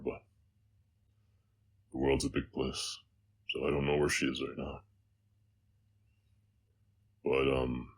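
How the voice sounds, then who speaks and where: Male actor for haunted, soft-hearted orc cowboy, audio drama. Rumbling bass, unhurried and hesitant, slight Southern US accent. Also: heavily acted. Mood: reflective, hesitant. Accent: slight Southern American. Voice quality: very deep. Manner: halting, hesitant, reflective. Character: gruff, gentle orc cowboy, haunted by his past. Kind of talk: slow intimate audio roleplay monologue.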